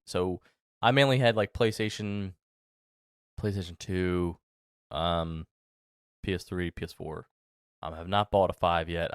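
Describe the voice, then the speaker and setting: A thirty-year-old man hosts a solo podcast in a deep, smooth voice. He talks casually and loosely, circling back with ums and restarts. The recording is close and dry. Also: dry recording. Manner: rambling, many fillers and restarts. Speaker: podcast host.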